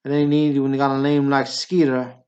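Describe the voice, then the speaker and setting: A man acting a nervous, awkward small-town man in a radio drama, speaking in an earnest, pleading, excitable way. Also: mid-range voice, brisk, lightly acted. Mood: wistful, worked up. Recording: dull lo-fi recording.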